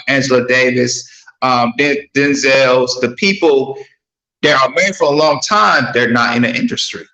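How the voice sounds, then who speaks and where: A man on a podcast panel talking casually and haltingly, repeating words as he searches for phrasing. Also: a little high, sharp tone, reverberant, highs cut off.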